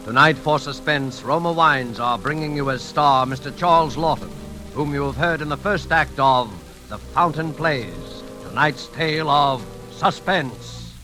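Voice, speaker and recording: A man announcing a radio programme in a formal, measured 1940s scripted style. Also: a little high. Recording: vintage radio with music behind. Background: music.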